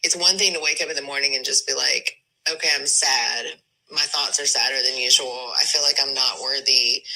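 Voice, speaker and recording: A woman's voice: deep voice, sharp tone, poor audio. A middle-aged woman, a reality show cast member, talking candidly and personally in conversation. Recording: music under TV show clip. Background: background music.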